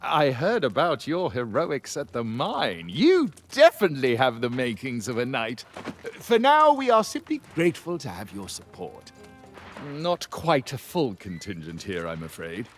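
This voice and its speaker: An actor playing a gallant, pompous knight commander in a video game, courteous and honor-bound, with a formal, theatrical delivery. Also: male voice, medium pitch, huge pitch swings, measured pace, soft background music. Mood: hearty, welcoming. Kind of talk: video game character acting.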